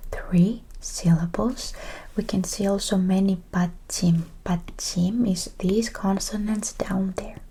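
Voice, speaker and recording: A woman gives an ASMR-style language lesson in a breathy whisper. She goes very slowly and encouragingly, breaking words into syllables and repeating them, with faint noise and small clicks in the recording. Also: medium pitch, mellow. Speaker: Korean language teacher.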